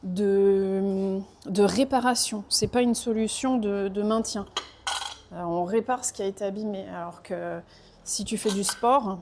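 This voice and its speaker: A woman's voice: mid-range voice, fairly even intonation, a little slow, a little mellow. Woman, casual chat, hesitant, many fillers, faint background noise. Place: lives in Portugal.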